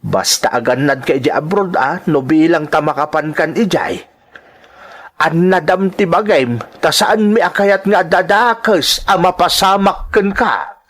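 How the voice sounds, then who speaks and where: A man with an Ilocano accent playing a gentle, caring older father in an audio drama, soothing and measured. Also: on the high side. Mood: caring, concerned.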